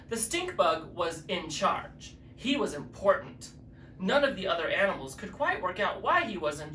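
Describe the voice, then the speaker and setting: Drag queen reading a picture book aloud, expressive, mid-pitched, recorded from a distance in a roomy space. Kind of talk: children's story reading. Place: living room with fireplace, indoors. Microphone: distant camera mic. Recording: echoey room, distant mic, faint noise.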